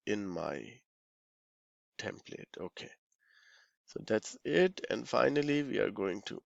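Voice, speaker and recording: A man giving an informal software walkthrough, halting and thinking aloud, often murmuring to himself as he works. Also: lowish voice, vocal fry, no room sound, muffled recording. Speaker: software tutorial instructor.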